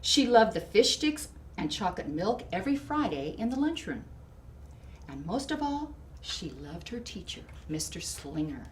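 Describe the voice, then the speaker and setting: A woman reading a storybook to children in expressive narration, with deliberate, emphatic phrasing. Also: medium pitch. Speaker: storybook reader. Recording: distant mic with clear background noise.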